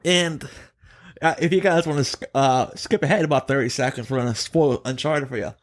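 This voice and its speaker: A man hosting a podcast, chatting casually and giving opinions in an animated, emphatic way. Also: mid-range voice, a little fast. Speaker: podcast host.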